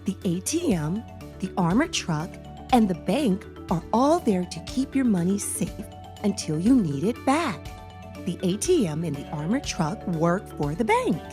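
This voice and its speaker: Calm, reassuring storybook mom, read by a narrator. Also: female voice, medium pitch, measured pace, faint music behind. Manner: gentle, patient explaining. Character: calm, reassuring mother of a young child. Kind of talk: children's storybook character reading.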